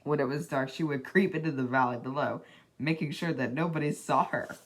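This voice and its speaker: A person reading a children's storybook aloud in a deliberate, dramatic way, as an amateur narrator. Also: mid-range voice, slight background noise.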